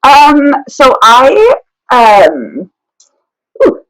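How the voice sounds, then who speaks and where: A woman being interviewed as a business owner, chatting casually and pausing often to think. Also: high voice, wildly swooping pitch, poor audio. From born in Thailand, raised travelling the world. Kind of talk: casual chat.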